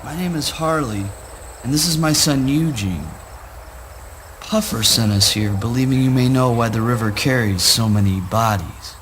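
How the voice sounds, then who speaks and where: A man's voice: mid-range voice, normal speed, fairly bright tone, slight background noise, lightly acted. An actor playing a stern, righteous father in a spoken word play, speaking in a pompous, archaic, declamatory way. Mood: formal.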